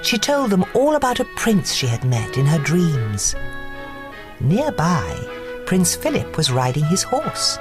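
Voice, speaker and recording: A woman narrating a scripted children's bedtime story in an expressive storytelling manner. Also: deep voice, takes it slowly, music in the background.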